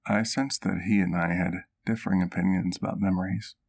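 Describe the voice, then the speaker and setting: A man playing a doting, wistful vampire doctor in an ASMR roleplay, telling his story gently in formal, literary language. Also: sits low, steady tone, brisk, gravelly. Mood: reflective.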